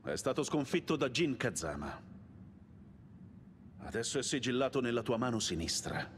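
An actor playing a grave, dignified leader of an occult order in a video game, solemn and formal. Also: male voice, mid-range voice, sing-song, a little slow, slight background noise. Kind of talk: video game cutscene acting.

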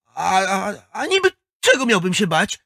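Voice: medium pitch, big pitch swings, unhurried, creaky, pushed and bright, no room sound, clean studio sound, full character voice. A performer playing a proud, sulky young schoolboy athlete in a children's audio drama, defensive and prone to stammer. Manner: defensive, stammering when flustered.

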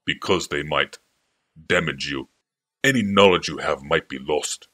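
An earnest, guarded young apprentice, voiced by a male reader, speaking in a deep voice with a bright edge. He stays defensive and earnest throughout the audiobook dialogue. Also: unhurried, full character voice.

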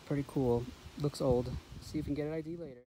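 Casual man vlogging over clear background noise. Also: a little high, steady tone, fast, mellow, poor audio. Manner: informal, addresses viewers as guys. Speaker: metal detecting video host. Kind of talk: casual handheld vlog commentary to viewers. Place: mostly outdoors in grass and along a river.

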